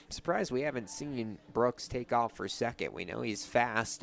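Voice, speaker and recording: A young man doing live radio play-by-play commentary of a baseball game as the announcer. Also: medium pitch. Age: young adult.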